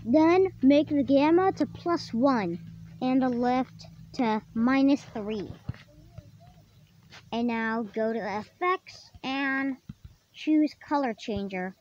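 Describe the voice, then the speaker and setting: A tutorial host casually explaining software steps in a halting way, often repeating and rephrasing. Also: high voice, lively intonation. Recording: dull lo-fi microphone, clearly noisy.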